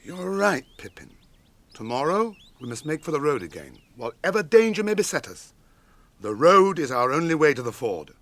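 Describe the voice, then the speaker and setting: Grave fantasy ranger, British accent, sharp forceful tone, solemn formal phrasing, radio drama. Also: male voice, a little high, big pitch swings, moderate pace, no room sound. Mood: resolute. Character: grave, watchful ranger guiding hunted companions.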